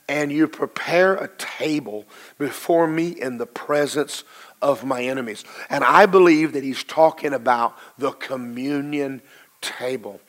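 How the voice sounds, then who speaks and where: A hoarse, low-voiced middle-aged man preaches from the pulpit, leaning hard on key words and stretching them out slowly. His pitch sits in an ordinary male middle range, but the hoarseness roughens every line. He swings between rising emphasis and quieter asides. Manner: emphatic preaching with slow drawn-out stresses. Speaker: pastor. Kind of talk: sermon.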